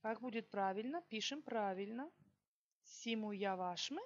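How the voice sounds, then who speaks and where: A woman teaching a language lesson, slowly dictating example sentences word by word and checking in with her students. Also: on the high side, muffled recording. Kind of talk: online language lesson, explaining exercises.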